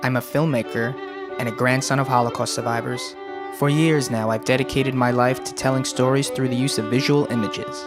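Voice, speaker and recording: A young man narrating a documentary in a measured, earnest, scripted way. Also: mid-range voice. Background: violin music.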